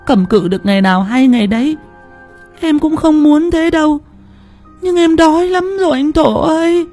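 A man voicing a starving little girl who whimpers and begs her older brother in a thin, breathy voice. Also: very high voice, normal speed, a little mellow, faint music behind, voice pushed up. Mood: coaxing, desperate.

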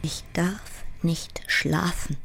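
A woman playing a sleepless, overwrought actress close to breakdown in a theatrical monologue, intense and fragmented, often slipping into breathy whispers. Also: lowish voice, steady tone, extremely slow, exaggerated voice. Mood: fearful, hushed. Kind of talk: theatrical audio drama monologue.

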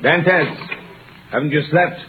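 A man's voice: on the high side, slow pace. A man playing a curt, practical prison jailer in vintage radio drama style. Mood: dismissive. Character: male prison jailer, dismissive, practical, easily alarmed. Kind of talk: 1930s-40s radio drama acting. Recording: noisy, tinny vintage radio recording.

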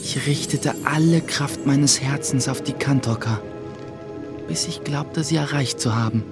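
A man playing an earnest young sorcerer's apprentice who narrates his own story in a measured way for a radio play. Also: medium pitch, natural voice. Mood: earnest, longing. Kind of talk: scripted first-person narration in German radio play. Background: music.

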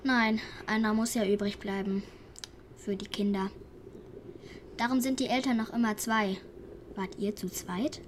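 A woman's voice: medium pitch, fairly even intonation, a little slow, long pauses, slight background noise, natural voice. A female actor playing a curious, frank little village girl in a German radio drama, in standard German.

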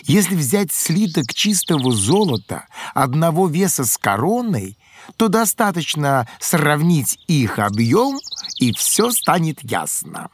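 An excitable ancient Greek inventor in a children's audio drama, speaks slowly with big swings of pitch. He explains things with good-humoured care over clearly noisy background sound. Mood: eagerly explaining. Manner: exclamatory, deliberate explaining.

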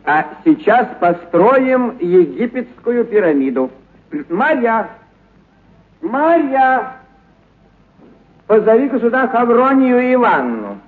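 An actor playing a kindly, eccentric animal trainer who exclaims, coaxes and repeats commands in a theatrical radio play. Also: male voice, very high-pitched, sing-song, takes it slowly, pauses often, full character voice. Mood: excited, commanding. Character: kindly eccentric animal trainer, bossy yet affectionate with his beasts. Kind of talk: theatrical radio story acting. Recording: muffled narrow-band radio recording.

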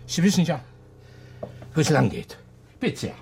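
Radio play: sly, menacing male widower, very animated pitch, creaky, needling and evasive. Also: normal speed, slight background noise, heavily acted. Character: sly, menacing widower holding a woman captive. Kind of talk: radio drama acting.

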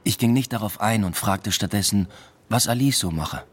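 A man's voice: fairly low pitch, moderate pace, husky. A man playing a brooding academic who narrates his own story in a radio play, reflective and understated. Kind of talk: first-person radio play narration and dialogue.

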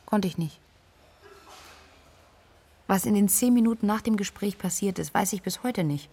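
Radio drama: defensive, bereaved young woman, roughened mid-range voice, blunt delivery. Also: mid-range voice, normal speed, warm tone. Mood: shaken, angry. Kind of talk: radio drama, interview-like monologue.

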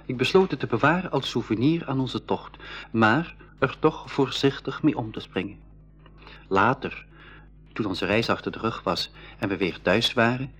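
Slightly husky storyteller. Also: male voice, fairly low pitch. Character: Piet, boy adventurer recounting his journey, earnest. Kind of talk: scripted first-person storytelling in school radio play.

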